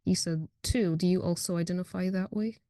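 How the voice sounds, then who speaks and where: Relaxed American woman, close dry sound. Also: lowish voice, fairly quick, dry recording. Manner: affirming, informal, reacts and encourages. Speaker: podcast host, interviewer. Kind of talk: casual conversational interview.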